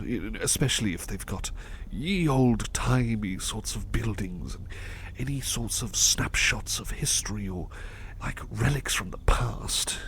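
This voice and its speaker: A man playing a devoted, polite butler in a soft-spoken ASMR roleplay, speaking gently and hesitantly with courteous stammers. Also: medium pitch, gravelly, slight background noise. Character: devoted, polite, history-loving male butler companion.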